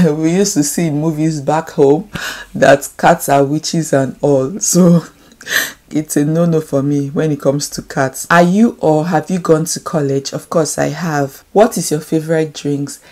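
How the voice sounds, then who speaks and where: A woman's voice: low-pitched, unhurried, a little mellow. A young Nigerian woman with a Nigerian accent, chatting casually to camera as she reads out and answers questions in a home room. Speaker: beauty YouTuber.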